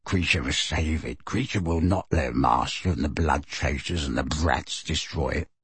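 A man puts on a bitter old house-elf who mutters resentfully under his breath, low and slow. The voice is husky and dry, close to the mic. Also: fairly bright tone, no room sound, exaggerated voice. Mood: muttering bitterly.

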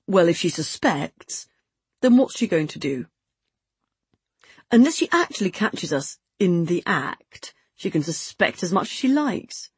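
A smooth-tempered married man in a novel, played by a woman reader, shrugging everything off. The delivery is low, terse and nonchalant, with some huskiness and very little room sound. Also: big pitch swings, unhurried, no room sound, exaggerated voice. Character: cool, unbothered married man having an affair.

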